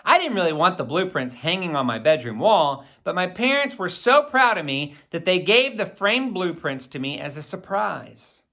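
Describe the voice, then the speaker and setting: A man narrates a children's story in the first person. His voice is bright and very lively, sweeping up and down in pitch with theatrical, expressive phrasing for young listeners. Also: moderate pace, hardly any pauses. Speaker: audiobook reader.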